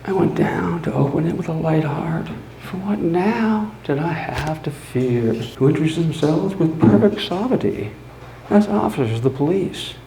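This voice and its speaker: A man playing a boastful, unraveling mad murderer in a theatrical live reading of gothic horror, with dramatic pauses and swings between hushed and frantic. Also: on the high side, animated, creaky. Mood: smug, excited. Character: gleeful, boastful, unraveling mad murderer confessing his crime. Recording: echoey room with background noise.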